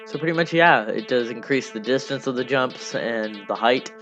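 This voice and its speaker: A man giving casual, unscripted devlog commentary in a rambling, halting way, often repeating himself. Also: mid-range voice, lively intonation, a bit bright. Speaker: hobby game developer narrating a devlog. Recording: dull lo-fi mic under music. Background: music.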